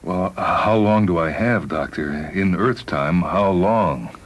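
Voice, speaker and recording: A man's voice: low-pitched, measured pace, slight background noise. An actor playing a dying but determined spaceman in a science fiction radio drama. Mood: anxious, halting.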